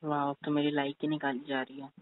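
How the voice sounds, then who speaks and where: A man's voice: on the high side, completely flat delivery, quick pace, natural voice. A man acts as a young boyfriend in a phone-call drama, possessive and insistent, yet placating. The sound is narrow like a telephone, with no room echo. He speaks in an everyday conversational way. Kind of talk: phone-call couple roleplay, conversational Hindi-English. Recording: thin, phone-like call audio.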